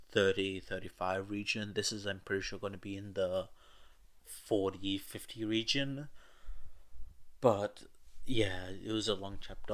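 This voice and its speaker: A man's voice: on the low side, a bit bright. A man narrating fiction as an audiobook reader, with casual asides. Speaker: podfic narrator.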